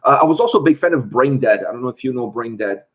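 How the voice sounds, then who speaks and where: A man's voice: mid-range voice, lively intonation, fast talker, breathy edge. A man from Portugal hosting a casual livestream, chatting and answering viewer questions. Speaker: host.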